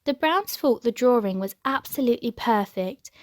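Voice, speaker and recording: A woman reading a children's storybook aloud as an expressive narrator. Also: on the high side, normal speed, no echo, studio quality. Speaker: storybook reader.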